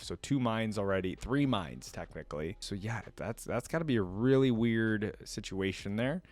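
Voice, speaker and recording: Low-pitched man musing in a dry space. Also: no room sound. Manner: musing, thinking out loud. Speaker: host of a reaction video. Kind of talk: reading lore aloud with casual off-the-cuff commentary.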